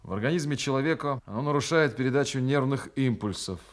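An actor playing an army major in a Soviet radio play, stern but humane, with crisp military delivery. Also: male voice, mid-range voice, expressive, close to an everyday voice. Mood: grave, explanatory. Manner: crisp military orders, measured explanations.

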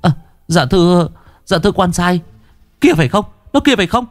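A man voicing an old, excitable ghost informer who fawns and squeals to the guards. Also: high voice, moderate pace, soft background music, pitched above the performer's own voice. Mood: fawning.

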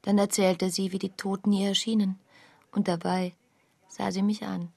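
Radio drama: a quiet, matter-of-fact mother of unshakeable certainty, breathy voice, close dry studio. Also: medium pitch, level tone, moderate pace, no room sound. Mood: quietly certain. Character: calm, unshakeably certain mother who harmed her child. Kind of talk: radio play acting, partly reported speech.

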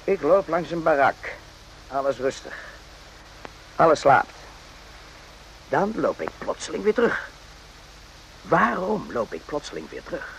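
An actor in a radio play voicing a brooding, rambling soldier who muses haltingly and repeats himself. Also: male voice, on the high side, big pitch swings, slight background noise, rough recording. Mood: chatty, defensive. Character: brooding, rambling soldier, former circus night watchman.

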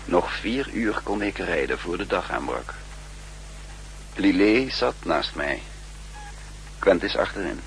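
An actor playing a shrewd, weary spymaster on the run in a 1960s radio drama, speaking deliberately and broodingly. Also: male voice, sits low, very animated, vocal fry, exaggerated voice, rough recording. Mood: sober narration. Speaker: lead actor and first-person narrator. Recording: humming, hissy 1960s radio recording.

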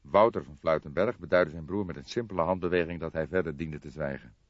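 A man's voice: extremely low pitch, fast, no room sound, dull audio. A man reading a crime story as scripted audiobook narration.

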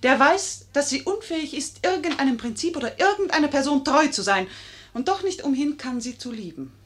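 A clever, proud lady of passion: somewhat high voice, eloquent and pointed, stagey delivery of a 1950s German radio play. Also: animated, brisk, a bit bright. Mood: scornful, impassioned.

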